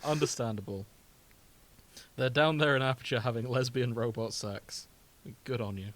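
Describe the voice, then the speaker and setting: A man's voice: mid-range voice, normal speed, fairly bright tone. A British man chatting casually as a podcast co-host, with a jokey, bantering manner. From Britain. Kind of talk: casual comedic chat.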